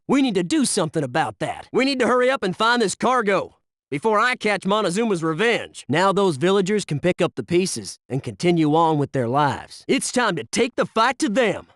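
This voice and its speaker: A brash special-forces soldier for a military shooter game. His voice sits high and swings widely in pitch. He delivers squad talk with folksy, sarcastic punch. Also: pushed and bright, a little hiss or hum, full character voice, low-quality recording. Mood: determined. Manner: punchy, sarcastic wisecracks and urgent squad callouts.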